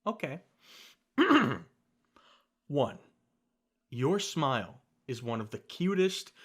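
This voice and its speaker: A young man performing a scripted ASMR roleplay as a best friend, talking softly and gently and reassuring the listener, with light teasing laughs. He is recorded on a close studio mic. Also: medium pitch.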